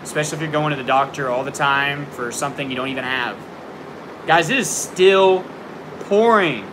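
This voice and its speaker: A man's voice: on the high side, moderate pace, background noise. Frank young man on a humming stream. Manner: frank, tough-love advice with a lot of fillers; jumpy, startled by thunder. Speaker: livestream host answering viewer questions. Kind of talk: casual livestream chat. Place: indoors at home near a window during a storm.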